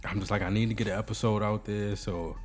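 A man's voice: lowish voice, fairly even intonation, quick pace, faint music behind. A man with a cold and a nasal voice hosting a casual podcast, joking and bantering. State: sick, nasal. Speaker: podcast host. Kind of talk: casual chat.

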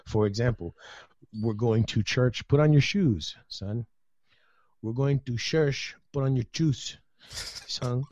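A man's voice: mid-range voice, takes it slowly, a little mellow, no room sound. A man guesting on a casual podcast, joking and teasing the host. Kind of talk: casual chat.

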